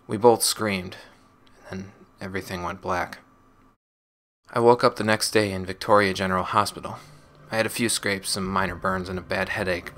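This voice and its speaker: A low male voice acted by a man plays a young ex-gang operative recording a personal log. The delivery is measured and reflective, like a game audio diary. Music plays clearly underneath. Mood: regretful, reflective. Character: young former gang operative recounting painful memories. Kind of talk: scripted audio log narration.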